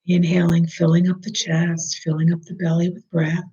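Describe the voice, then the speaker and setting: A meditation guide leading a slow, soothing breathing meditation, speaking softly and at times almost in a whisper. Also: mid-range voice, completely flat delivery, highs cut off.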